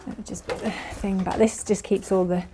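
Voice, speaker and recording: A woman's voice: medium pitch, level tone, normal speed, noisy background. Chatty woman touring her garden outdoors. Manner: chatty, hesitant, with frequent fillers. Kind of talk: casual walk-through garden tour. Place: outdoors in a home garden and greenhouse.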